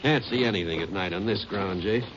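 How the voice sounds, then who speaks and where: A man's voice: sits low, brisk, close to an everyday voice. A man playing a plain-spoken, drawling rural sheriff in a 1950s radio drama, on a muffled, noisy old broadcast recording. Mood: weary. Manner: drawling, folksy.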